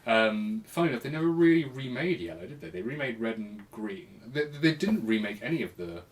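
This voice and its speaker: A man's voice: medium pitch, big pitch swings, fairly quick. A man hosting a casual chat with a friend, explaining things in a loose, rambling way. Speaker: podcast host. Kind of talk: casual retro gaming chat between friends.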